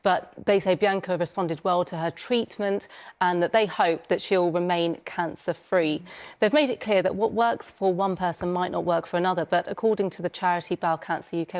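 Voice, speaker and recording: A woman correspondent explaining a story in a live two-way with the presenter, steady and explanatory. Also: fairly low pitch, normal speed. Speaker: news correspondent. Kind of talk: live two-way news report.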